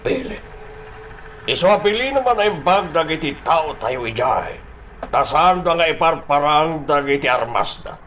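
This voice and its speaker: Guarded crime boss, Ilocano Filipino accent, slow halting speech, swooping pitch, radio drama. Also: male voice, expressive, a bit bright, slight background noise. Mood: commanding. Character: male crime boss, guarded, fatherly to young woman.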